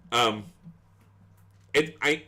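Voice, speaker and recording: Animated man running a tabletop game. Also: fairly high pitch. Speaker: game master. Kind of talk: casual tabletop role-play chat on a podcast.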